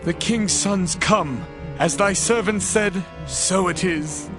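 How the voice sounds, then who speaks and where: A man playing a shrewd, reassuring royal kinsman in a biblical drama, measured and formally declamatory. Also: sits high, music in the background. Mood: solemn reassurance. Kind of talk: dramatized scripture reading with archaic English.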